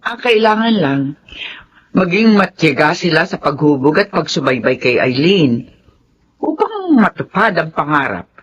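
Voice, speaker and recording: An actor playing an opinionated old grandfather in a Filipino radio drama, husky and emphatic, lecturing. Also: on the high side, very animated, unhurried, fairly bright tone, heavily acted. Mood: firm, advising. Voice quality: husky, thin aged voice. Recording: dull lo-fi radio recording.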